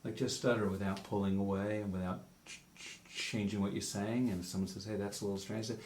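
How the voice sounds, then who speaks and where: Therapist guy in a session, gentle questions, lowish voice, echoey room with a little hum. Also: flat delivery, fast. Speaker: speech therapist.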